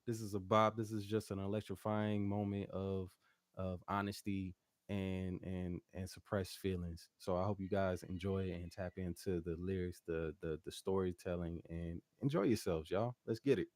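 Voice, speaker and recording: A man's voice: low-pitched, fairly even intonation, normal speed, a little mellow, dry recording, clean studio sound. A man talking casually as a guest music artist in an interview, slangy and rambling, often checking that the listener follows. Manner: slangy, rambling, frequent "you know what I'm saying".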